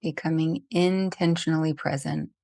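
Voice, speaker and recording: A woman guides a meditation in a lowish, softly even voice with little rise and fall. Her phrases are gentle and spaced by long pauses. The sound is very dry and close, without room echo. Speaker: meditation teacher.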